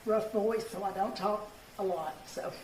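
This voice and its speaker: A raspy-voiced woman, a party chairperson giving plain, folksy closing thanks at an event. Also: mid-range voice, a little slow. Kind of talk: closing remarks at a picnic event. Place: indoor event hall. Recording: echoey room, distant mic, noisy.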